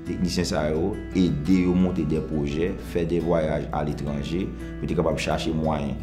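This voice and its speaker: A man's voice: extremely low pitch, fairly even intonation, normal speed. A man with a Haitian accent explaining work in a documentary interview. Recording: music bed behind voice.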